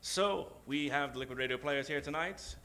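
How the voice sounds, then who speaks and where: A man hosting a live old-time radio show as a grandiose, theatrical announcer with dramatic pauses. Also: on the high side, pushed and bright. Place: theater stage, before an audience. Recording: live stage show with music behind. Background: music.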